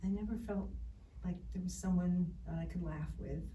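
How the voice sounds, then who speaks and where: A woman acting a shy, lonely young woman in a monologue, confessing in a halting, earnest, nervous way, recorded from a distance in a roomy space. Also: on the low side, mellow, a little hiss or hum, close to an everyday voice. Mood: wistful. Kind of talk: rehearsed film monologue in an acting class.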